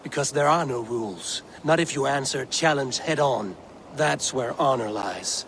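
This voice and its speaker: A man's voice: mid-range voice, normal speed, a bit bright, lightly acted. Man playing commanding Viking warrior, noisy backdrop. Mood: firm, encouraging. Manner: commanding, challenging. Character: tough Viking warrior leader, goading yet honorable mentor. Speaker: video game character. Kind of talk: dramatic video game acting. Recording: clearly noisy game background ambience.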